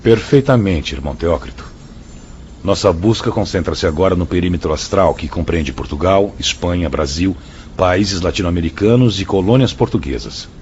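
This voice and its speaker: An actor with a Brazilian accent playing an efficient, respectful spirit department chief in a radio drama, formal and businesslike. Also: male voice, sits low, expressive, moderate pace, darkish tone. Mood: dutiful, assured. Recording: dull lo-fi audio, faint noise.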